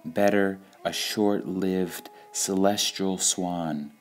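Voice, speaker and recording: A man reading spiritual sayings aloud in a calm, measured way, pausing between phrases. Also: low voice. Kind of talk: slow meditative reading of quotations.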